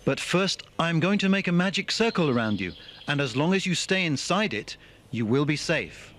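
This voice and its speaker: A man's voice: on the high side, lively intonation, normal speed, a bit bright, a little hiss or hum. A man voicing a loyal, cautious younger brother, earnest and warning.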